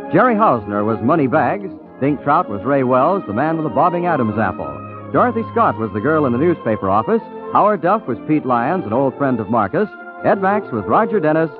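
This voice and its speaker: A man announcing from a script in formal, polished 1940s radio style. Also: mid-range voice. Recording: tinny 1940s radio recording, music.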